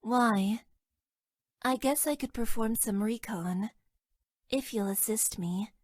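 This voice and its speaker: A woman voicing a detached, self-deprecating young female mecha pilot in a video game, with deadpan, stilted and hesitant delivery. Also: fairly high pitch, dry recording, clean studio sound. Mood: flat, dejected. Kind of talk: video game character voice lines.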